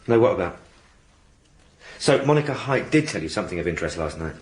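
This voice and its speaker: An actor playing a respectful but dogged police sergeant in a radio drama, gently challenging his superior. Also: deep voice, big pitch swings, fast talker, background noise. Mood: questioning.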